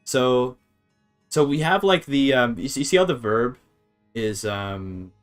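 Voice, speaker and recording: A man's voice: medium pitch, lively intonation, a little slow, soft background music. A man casually streaming a game, thinking aloud as he reads his chat and works through grammar. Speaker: streamer learning a language. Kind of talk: casual live-stream commentary.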